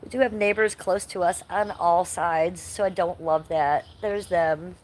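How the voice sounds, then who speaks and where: Woman, from Ohio, stuffy nasal voice, low-pitched, casual livestream chat, profane, faint background noise. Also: fairly even intonation, a little slow, a little mellow. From Ohio, USA. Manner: chatty, profane, reacts to chat. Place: home and backyard, outdoors.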